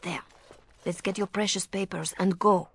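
A sly, seductive spymaster for a video game. Her voice is breathy and husky, and she slides between coaxing endearments and cool command, always in control. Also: mid-range voice, fairly even intonation, normal speed. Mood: cool, reassuring.

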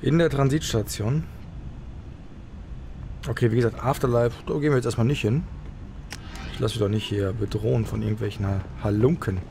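A man's voice: medium pitch, a little fast, gravelly, pauses often, dark tone, slight background noise. A man giving casual let's play commentary as the host.

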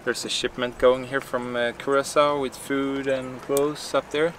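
A man, chatting casually to the camera on a sailboat deck, relaxed and hesitant with many fillers. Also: medium pitch, unhurried. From Sweden. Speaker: sailing vlogger. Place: outdoors on a sailboat deck on a bay. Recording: distant camera mic with outdoor noise.

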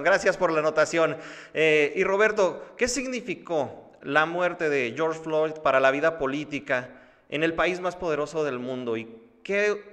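Higher-voiced male host, heavy reverb. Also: fairly bright tone. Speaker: interview show host. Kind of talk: news interview presenting. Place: indoor studio room. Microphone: desk microphone on a stand. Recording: long hall-like reverb.

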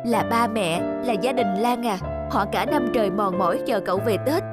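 A high-pitched young cartoon woman, acted by a voice actress, emotive and earnest about love and friends. She speaks in animated-film style. Loud music plays behind her. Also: normal speed, natural voice. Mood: earnest, caring. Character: young office women friends, one lovesick, one caring.

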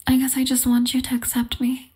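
A woman voicing an obsessive, lovestruck yandere girl in an ASMR roleplay, whispering softly and intimately. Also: high-pitched, monotone, a little fast, natural voice. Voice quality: breathy whisper. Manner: soft, intimate, unsettling.

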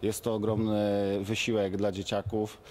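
A man answering interview questions in a halting way, pausing often on hesitation sounds. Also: lowish voice, monotone, a little mellow.

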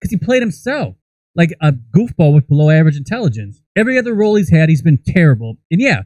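American dude doing crude comedy podcast rants, mellow tone, super dry close mic, very opinionated. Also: male voice, on the high side, sing-song, fast talker, no room sound, poor audio. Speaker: podcast co-host. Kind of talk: comedic ranting movie commentary. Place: small indoor room set up as a podcast studio. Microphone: close podcast microphone.

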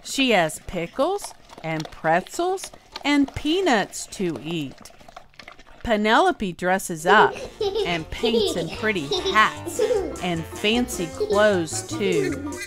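Lady storyteller reading a kids book real slow n expressive, music loud behind her. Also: mid-range voice. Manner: expressive, enunciated, drawn-out key words. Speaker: storyteller, host. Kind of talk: children's read-aloud storytelling.

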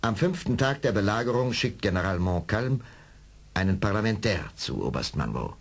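Low, animated male story narrator. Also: moderate pace. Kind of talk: dramatic scripted adventure audio drama narration.

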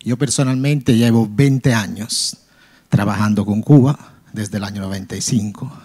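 A man in his forties, a native Italian speaker with a slight Cuban lilt, asks a formal question from a conference audience into a handheld microphone in a large hall, earnest and halting. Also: lowish voice, mellow, husky. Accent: Italian, with some Cuban Spanish. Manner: earnest, halting, with frequent pauses. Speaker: audience member asking a question.

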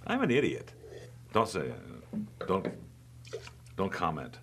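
A man's voice: low voice, huge pitch swings, takes it slowly, fairly bright tone, slight background noise. A middle-aged man hosting a casual wine tasting in a wine shop, teasing his co-host and rambling enthusiastically about the bottles. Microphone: overhead boom mic.

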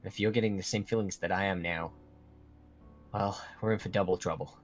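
A man's voice: low-pitched, fairly even intonation, brisk, lightly acted. A man playing a worried, protective young werewolf in an improvised Minecraft roleplay, talking in a hesitant, explaining way. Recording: music under the voice.

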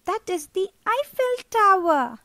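A woman's voice: very high voice, sing-song, a little slow, sharp tone, dry recording, pitched above the performer's own voice, full character voice. A woman voicing a polite, proud young boy who speaks with care, in a storybook reading. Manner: careful, proud.

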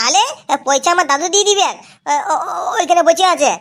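Super high whiny little boy for a cartoon, lispy, stammery, cheeky n demanding. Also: fairly quick, a bit bright. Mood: pleading. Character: cheeky, hungry little grandson demanding sweets. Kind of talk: amateur cartoon comedy voice acting.

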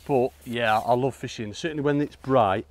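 A man's voice: medium pitch, moderate pace. A man, chatting casually and matily while he fishes beside a lake, on a lapel mic. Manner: chatty, matey, calls people "mate". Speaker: angler presenting a fishing video. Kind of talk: casual chat while fishing. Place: outdoors at a lakeside fishery.

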